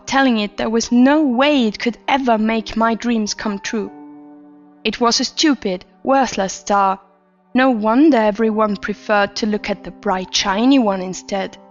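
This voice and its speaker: Actress as twisted, attention-starved woman telling her horror confession; higher voice, measured storytelling, faint music underneath. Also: muffled recording, lightly acted. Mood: frustrated, seething. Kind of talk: scripted first-person horror story narration. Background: background music.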